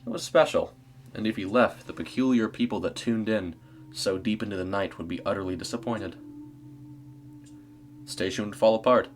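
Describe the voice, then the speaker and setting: A man reading a scripted eerie story as narrator, in a measured, dramatic storytelling manner. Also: on the low side.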